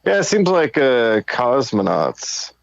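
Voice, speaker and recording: A man's voice: medium pitch, sing-song, takes it slowly, gravelly. A man co-hosting a podcast in casual chat, on slightly lo-fi audio. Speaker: podcast co-host.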